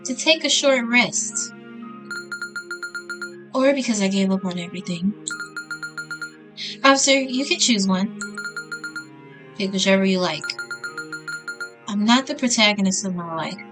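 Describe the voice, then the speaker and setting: A woman's voice: mid-range voice, animated, unhurried, pauses often, music in the background, natural voice. A woman voicing a self-pitying, coy young female murder suspect in a visual novel, plaintive and teasing. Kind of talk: visual novel dialogue read aloud.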